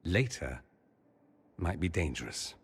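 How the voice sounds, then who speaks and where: A man's voice: sits low, very animated, slow, slightly rough, heavily acted. An actor playing a witty, flirtatious mage nobleman in a video game, with an arch, teasing, theatrical delivery. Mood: guarded, wistful. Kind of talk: video game cutscene acting.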